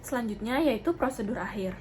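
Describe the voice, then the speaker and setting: A woman's voice: fairly high pitch, animated, fairly quick, darkish tone. A young adult woman giving formal, polite, methodical instruction in the manner of a student demonstrating a clinical procedure. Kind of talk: instructional narration with role-played patient consultation. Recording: clearly noisy amateur video sound.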